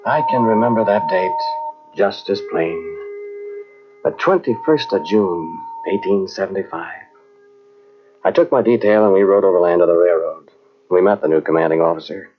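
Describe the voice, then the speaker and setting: An American man playing a deferential, earnest cavalry sergeant in an old radio drama, talking in a halting, folksy way. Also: low-pitched, natural voice. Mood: nostalgic, reminiscing. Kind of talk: old-time radio drama acting and narration. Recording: muffled old radio drama from cassette tape.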